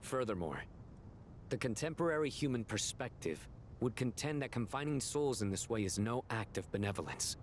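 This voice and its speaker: An actor playing a firm, reasoning council member in a video game, formal and resolute. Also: male voice, animated, moderate pace, slight background noise, lightly acted. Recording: faint rumbling game sound behind.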